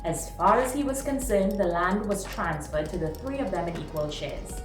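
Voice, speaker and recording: A woman reading a short story aloud in a dramatic, expressive narrating style. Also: on the low side, normal speed. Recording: roomy, distant mic, music behind. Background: music.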